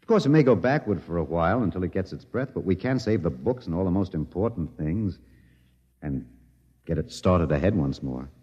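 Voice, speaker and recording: An actor playing a wry, tender male survivor in a 1950s radio drama, musing and gently hesitant. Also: sits low, animated, brisk, breathy edge. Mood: musing, earnest. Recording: dull vintage radio recording, long reverb.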